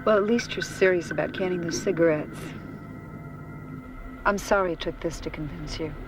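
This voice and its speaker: A woman's voice: lowish voice, moderate pace, music in the background, close to an everyday voice. An actress playing a concerned, earnest wife and mother in naturalistic drama dialogue.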